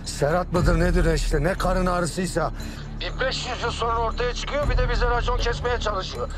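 A man's voice: sits high, fairly even intonation, normal speed, close to an everyday voice. An actor playing an arrogant, menacing middle-aged man making threats over the phone. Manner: threatening, gruff, swearing. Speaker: brother-in-law. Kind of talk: TV drama acting. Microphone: phone call in a car. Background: music.

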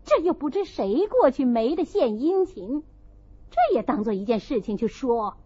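A woman narrator playing a proud, sharp-tongued young noblewoman who argues indignantly to justify herself. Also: high-pitched, sing-song, moderate pace, husky, heavily acted. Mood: defensive. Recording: dull, lo-fi audio.

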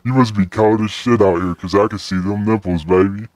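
A man's voice: fairly low pitch, fairly even intonation, brisk, darkish tone, no echo. A man in casual, crude, playful banter while gaming online, full of slang. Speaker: gaming video creator. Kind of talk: casual joking banter during online game. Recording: faint noise, game sounds.

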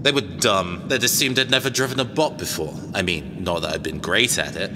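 A man's voice: low-pitched, brisk, big-room sound, background noise, natural voice. A man playing a cagey, self-assured robot engineer mercenary in an audio drama, wry, conversational and hesitant. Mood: wry, recounting.

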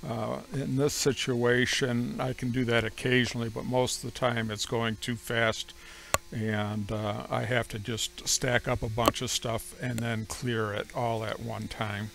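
A middle-aged man narrating how he runs his sawmill, speaking slowly and plainly with frequent pauses. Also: medium pitch, flat delivery, gravelly. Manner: slow, measured, plain-spoken with frequent "uh" pauses. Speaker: homestead sawmill operator. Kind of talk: voice-over explaining sawmill operation.

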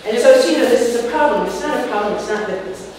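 A woman in her forties on an art panel, talking in a rambling, animated way with many fillers. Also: on the high side, fast, a crowd in the background. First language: not Czech. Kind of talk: panel discussion. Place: room with curtains, seated on sofa. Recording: distant mic, echoey hall, noisy.

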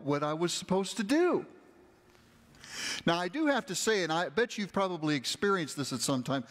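A middle-aged male pastor with a fairly high voice tells a story from a church stage, conversational and animated. His words ring with the long reverb of a large hall. He sounds like he is speaking into a body mic while walking and gesturing. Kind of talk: storytelling sermon.

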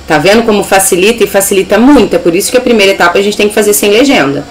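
A woman's voice: mid-range voice, rapid-fire, poor audio. Young Brazilian woman teaching English. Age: young adult. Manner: instructive, enunciates English examples. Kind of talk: informal video lesson.

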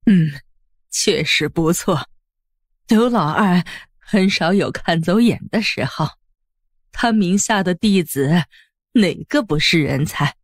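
A woman playing a composed, approving elderly noblewoman in an audiobook drama, speaking in a measured, assured way. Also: lowish voice, expressive, no room sound, clean studio sound.

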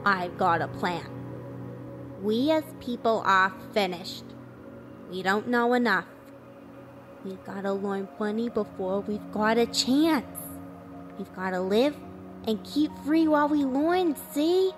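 A woman's voice: mid-range voice, slow pace, faint music behind, lightly acted. A woman playing a cynical, defiant survivor of an alien invasion in a radio play, with blunt, folksy, clipped phrasing. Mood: defiant, scheming. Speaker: actor in an amateur radio drama.

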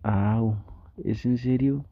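A flustered young gamer boyfriend with a Mexican accent, played by a man for an intimate audio roleplay. He speaks slowly and haltingly in a gravelly, coaxing voice. The recording is dry and slightly dull. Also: medium pitch, fairly even intonation, no echo. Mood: flustered, pleading. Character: flustered, easily tempted gamer boyfriend, playfully pleading. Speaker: ASMR roleplay creator.

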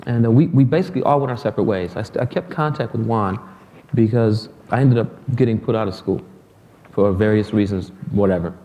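A middle-aged man from Detroit tells stories on stage, his speech loose, joking and salted with profanity. His tone is mellow and dark. The sound rings with the long reverb of a hall. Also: fairly low pitch, fairly quick, lo-fi sound. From Detroit, USA. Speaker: guest musician. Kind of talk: lecture interview on stage. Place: hall with an audience.

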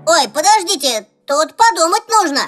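An excitable, cheeky small cartoon child, with an extremely high little voice. Loud music plays underneath. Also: female voice, moderate pace. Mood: tentative. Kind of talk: animated children's cartoon acting.

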